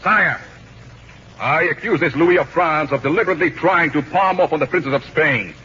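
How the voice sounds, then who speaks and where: A radio actor playing a scheming, pompous Spanish general in a 1940s comedy, with sardonic courtesy. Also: male voice, a little high, moderate pace, sharp tone, breathy edge, heavily acted. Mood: indignant, urgent. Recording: muffled, noisy 1940s radio broadcast.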